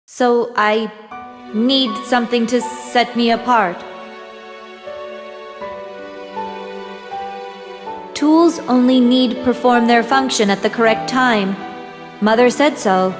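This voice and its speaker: A woman plays an artificial young girl from a video game, in a fairly high voice. She speaks literally and formally, without emotion, earnest even when questioning. Music plays clearly behind her. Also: a little slow, lightly acted. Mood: puzzled. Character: emotionless young girl, artificial being who calls herself a tool. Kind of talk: English video game voice acting.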